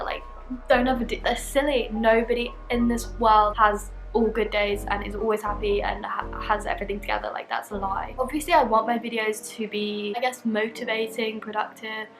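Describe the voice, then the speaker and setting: A woman's voice: mid-range voice, steady tone, a little fast, a bit bright, echoey room, soft background music, low-quality recording. A 20-year-old woman from London with a southern British English accent, chatting casually and candidly to camera as a vlogger. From London, England.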